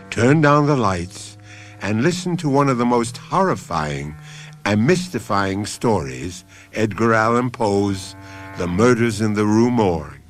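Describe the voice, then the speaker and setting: A man narrating as host of a radio mystery drama, theatrical and measured, with deliberate pauses. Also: on the low side.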